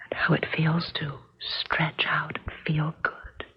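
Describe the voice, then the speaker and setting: An actress playing a wistful, apprehensive woman falling for a stranger in a 1970s radio drama, speaking in a hesitant, dreamy, breathy way. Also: low-pitched, monotone, whispered, heavily acted. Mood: dreamy, longing. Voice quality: breathy, husky. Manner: hesitant, dreamy, halting repetitions. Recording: dull, lo-fi 1970s radio recording.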